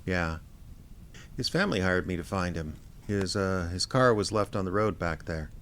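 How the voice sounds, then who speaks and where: An actor playing a laconic small-town private investigator, narrating his case in a deadpan, understated radio drama style. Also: sits low, normal speed, a little hiss or hum. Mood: quiet, probing. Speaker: narrator and lead character.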